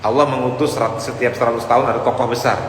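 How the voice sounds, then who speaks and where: A man's voice: medium pitch, flat delivery, very fast. A man preaching an informal Islamic lecture in a conversational way, addressing his listeners directly and mixing in Arabic phrases. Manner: sprinkles in Arabic phrases and quotations. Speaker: Islamic preacher teaching a congregation. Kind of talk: informal religious lecture on the Prophet's biography. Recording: echoey hall with faint background noise.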